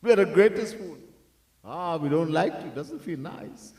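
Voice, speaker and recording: A man's voice: fairly high pitch, expressive, normal speed, a little mellow. A man teaching as a swami in a devotional discourse, earnestly repeating his key points and at times chanting verses in song. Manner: breaks into sung chanting of verses. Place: temple hall. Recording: echoey room, mic set far off.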